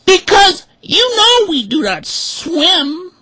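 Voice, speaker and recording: A man voicing a flock of pleading, indignant hens in a shrill falsetto. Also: very animated, takes it slowly, bright, forceful tone, no room sound, heavily acted. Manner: shrill high falsetto, singsong.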